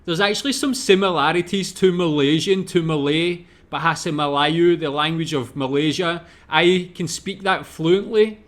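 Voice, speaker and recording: Scottish man, Glasgow accent, relaxed reaction host who thinks aloud. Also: a little high, moderate pace, hardly any pauses. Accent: Glaswegian Scottish. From Scotland. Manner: thinking aloud, asks viewers questions. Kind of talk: casual reaction commentary.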